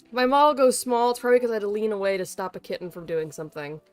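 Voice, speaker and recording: A woman's voice: medium pitch, expressive, very fast, clean tone, dry recording, soft background music. A woman livestreamer chatting casually to viewers in a playful, chatty way. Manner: playful, chatty, talks to pets. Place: bedroom at home.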